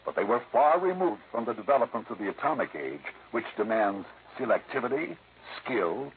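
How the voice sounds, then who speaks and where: Lively-pitched male vintage radio announcer. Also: normal speed. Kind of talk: 1950s radio announcing, scripted narration. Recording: narrow, faintly noisy old radio recording.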